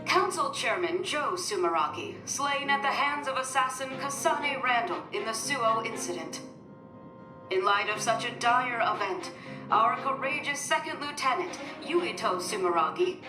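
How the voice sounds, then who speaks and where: A woman's voice: a little high, expressive, moderate pace, lightly acted. A woman playing a grandiose propaganda news announcer in a video game, formal and proclaiming. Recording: echoey broadcast over loud music.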